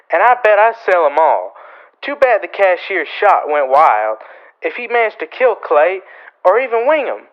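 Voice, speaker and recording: A man's voice: sits high, muffled recording. A man playing a gleeful, opportunistic small-town newspaper printer in a Western radio drama, with a folksy, boastful American manner of talking.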